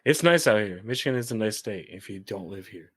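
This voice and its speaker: A man's voice: lowish voice, animated, brisk, no room sound. A man hosting a podcast, asking curious, chatty questions and laughing easily. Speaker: podcast host. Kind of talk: casual interview.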